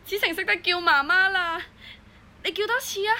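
A woman's voice: a little high, animated, moderate pace, natural voice. An actress playing a devoted, vulnerable young single mother in a Hong Kong Cantonese audio drama, speaking in a heartfelt way. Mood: amazed, joyful. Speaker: voice actor. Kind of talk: audio drama acting with first-person narration.

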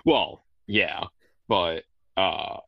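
A man's voice: deep voice, creaky, lo-fi sound. A man co-hosting a casual sports podcast over a call, hedging with a lot of "like". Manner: slow, hedging with likes.

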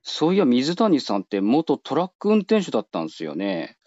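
A man's voice: mid-range voice, huge pitch swings, moderate pace, darkish tone, dry recording, lo-fi sound. A man playing a flippant, nosy junior call-centre worker in a radio drama, talking in a slangy, teasing way. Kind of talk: Japanese radio drama acting.

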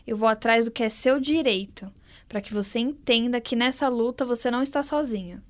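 A woman delivering a forceful scripted dramatic monologue as a podcast narrator. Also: a little high, brisk. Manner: declamatory and forceful.

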